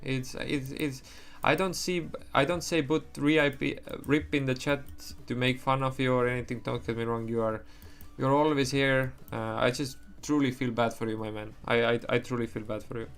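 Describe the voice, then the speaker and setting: A man's voice: mid-range voice, fairly even intonation, moderate pace, few pauses, a bit bright, soft background music. A young man whose first language is Estonian, talking casually on a livestream, rambling, swearing and restarting his sentences. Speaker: livestream trader.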